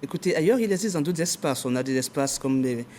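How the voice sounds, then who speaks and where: A man's voice: fairly high pitch, lively intonation, fast talker, darkish tone, a little hiss or hum. A man, an adviser in a ministry, talking as a guest on a TV talk show in long, formal, official-sounding sentences.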